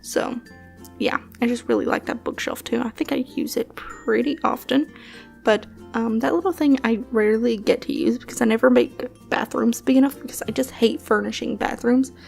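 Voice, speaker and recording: A young woman talking casually over gameplay as a channel host, rambling with many fillers and soft laughs, a bit sniffly from allergies. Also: a little high, moderate pace, creaky, faint music behind. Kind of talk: casual voice-over commentary on a building game.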